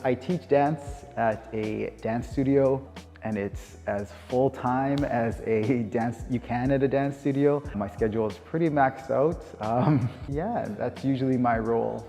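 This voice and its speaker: A man in his thirties with a Canadian accent, speaking informally and reflectively as if answering interview questions, pausing and rephrasing as he goes. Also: medium pitch, warm tone. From Winnipeg, Canada. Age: 30s. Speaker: dance teacher. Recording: long reverb, music underneath. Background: music.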